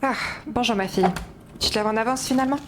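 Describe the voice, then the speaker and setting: A woman's voice: fairly high pitch, fast, slight background noise, natural voice. A woman playing a practical mother who farms, chatting casually with her daughter in an audio drama.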